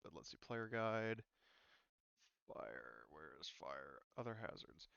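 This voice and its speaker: A man's voice: sits low, very animated, takes it slowly, gravelly, fairly bright tone, no echo, dull audio. A man narrating a tabletop game as game master, explaining rules casually and thinking aloud hesitantly.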